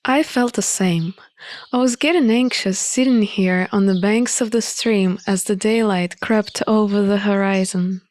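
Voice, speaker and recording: A woman reading a visual novel aloud as an expressive narrator of a playthrough. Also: mid-range voice, a little slow, no room sound.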